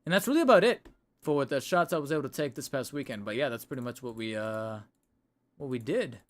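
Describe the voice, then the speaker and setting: A man's voice: medium pitch, expressive, quick pace. Chatty man streaming from home. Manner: rambling, self-correcting, chatty. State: voice getting tired. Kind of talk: casual livestream chat. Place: home room. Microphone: desk microphone.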